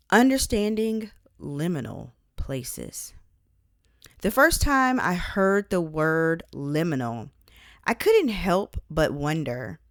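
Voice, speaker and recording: A woman's voice: sits low, no room sound, studio quality. A woman reading her own book aloud as scripted audiobook narration.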